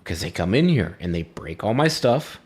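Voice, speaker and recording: A man's voice: lowish voice, big pitch swings, normal speed, a little mellow, husky. A man voicing an irritated undead male dwarf who guards his crypt home, exasperated and casual, in a tabletop game. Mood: aggrieved.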